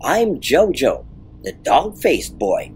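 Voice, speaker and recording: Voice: mid-range voice, very animated, slow pace, a little mellow, a little hiss or hum. An actor playing a friendly, proud dog-faced boy from a circus sideshow in an audio comedy drama.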